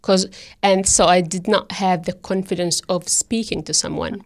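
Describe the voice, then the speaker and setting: A woman's voice: fairly low pitch, steady tone, moderate pace. A woman in her thirties whose first language is Arabic, talking hesitantly with many fillers and restarts in a casual interview about her work as a teacher aide. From Middle East.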